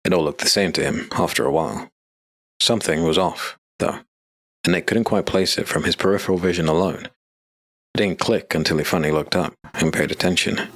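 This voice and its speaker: A man narrating a scripted dark fantasy story as an audiobook narrator. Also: sits low, a little fast, no echo.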